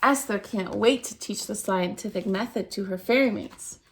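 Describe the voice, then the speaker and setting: A woman reading a children's picture book aloud as a storytime host, expressively, with theatrical, drawn-out emphasis on key words. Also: medium pitch. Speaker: storytime reader at a dance centre.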